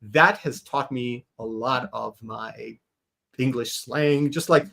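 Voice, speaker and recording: A man's voice: mid-range voice, takes it slowly, vocal fry, pushed and bright. A man, a Hebrew speaker, talking animatedly as a guest in a casual podcast interview, laughing often. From Israel. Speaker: guest artist.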